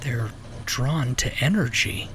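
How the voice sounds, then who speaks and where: An actor playing a grave, shaken but determined scientist with halting delivery in an audio drama. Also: male voice, medium pitch, expressive, background noise. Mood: uneasy. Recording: loud background ambience under voice.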